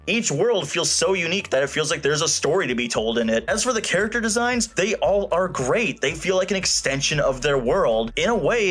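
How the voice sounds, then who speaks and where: A man's voice: on the high side, fairly bright tone. A man giving an opinionated, scripted video review in an emphatic, sarcastic, casually profane way. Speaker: film reviewer. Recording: music playing behind the voice. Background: background music.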